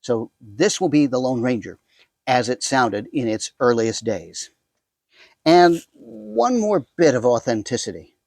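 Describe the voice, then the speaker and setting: Male American host, husky voice in a dry, close space; casual, wry, chatty introduction to vintage radio drama. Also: mid-range voice, lively intonation, unhurried, darkish tone, no room sound. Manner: chatty, wry, self-interrupting asides. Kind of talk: casual scripted introduction to camera.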